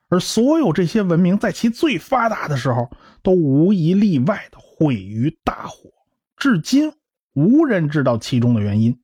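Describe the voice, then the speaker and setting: A man's voice: a little high, mellow, husky, dry recording, studio quality. A man playing a cocky, talkative scientist in a radio drama, with a blustering, explanatory way of talking. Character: cocky, talkative male scientist and science populariser, brash and lively. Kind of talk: Chinese radio drama acting.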